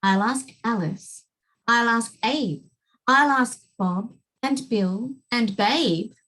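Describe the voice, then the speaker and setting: A woman's voice: fairly high pitch, slow pace, bright, forceful tone, exaggerated voice, poor audio. A woman voicing an eager little boy reeling off the kids he will invite, in a rhyming children's book. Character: little boy planning a party.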